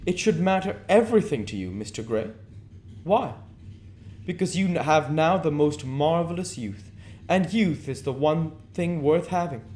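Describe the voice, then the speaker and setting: A man voicing a languid, persuasive aristocratic gentleman from a novel, lecturing emphatically on how one should live. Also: a little high, animated, normal speed, natural voice. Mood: insistent, admiring. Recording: noticeable background noise.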